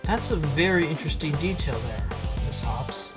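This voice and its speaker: A man playing a wry, bold fox defense attorney in a courtroom audio drama, with loud music behind him. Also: a little high, animated, moderate pace, lightly acted. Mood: probing. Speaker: voice actor in a fan audio drama.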